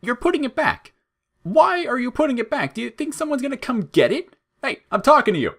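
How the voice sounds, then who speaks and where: A man's voice: very high voice, sing-song, a little fast, creaky, a bit bright, pitched above the performer's own voice, full character voice. A man voicing a spiteful, falsely chirpy talking flower in audio fiction, taunting and needling with whiny outrage and menace. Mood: frantic.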